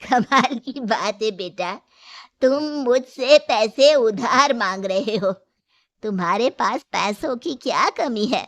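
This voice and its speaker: A woman playing a gentle, patient elderly mother-in-law who keeps a small shop in a Hindi moral story. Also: fairly high pitch, takes it slowly, no echo. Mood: mildly firm.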